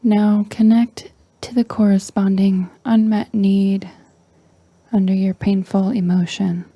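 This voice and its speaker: A woman leading a guided meditation in a soft, breathy, near-whispering voice, calm and gentle with long pauses. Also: mid-range voice, dry recording, highs cut off. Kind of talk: slow guided meditation narration.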